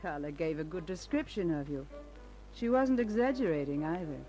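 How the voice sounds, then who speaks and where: A woman's voice: on the low side, expressive, normal speed, dark tone, lo-fi sound, lightly acted. A woman playing a sly, flirtatious noir dame. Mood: cool, teasing. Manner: coy, teasing. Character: flirtatious, sly blonde femme fatale. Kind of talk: old-time radio crime drama acting. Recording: old radio recording with faint music.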